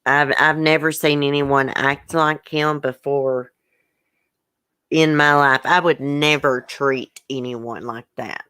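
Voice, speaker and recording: A woman's voice: extremely low pitch, fairly even intonation, slow pace, bright, forceful tone, smooth, no room sound. A woman hosting a livestream, upset and hurt, bluntly venting and reading viewer comments aloud in casual, swearing speech. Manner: emotional, blunt, colloquial, swears. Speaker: YouTube channel host.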